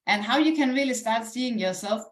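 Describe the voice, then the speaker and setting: A woman with a Danish accent and a fairly high voice presents a live online business pitch. She speaks in an earnest, encouraging, conversational manner. The sound is roomy, with clear echo around her voice. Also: a little fast. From Denmark. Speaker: online presenter, network marketing ambassador. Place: indoors, echoey room, live stream.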